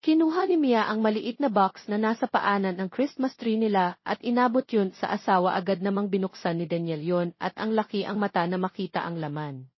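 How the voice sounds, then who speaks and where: A woman's voice: medium pitch, a little fast, breathy edge, no room sound, dull audio. A woman with a Filipino accent reading scripted narration of a romance story as an audiobook narrator.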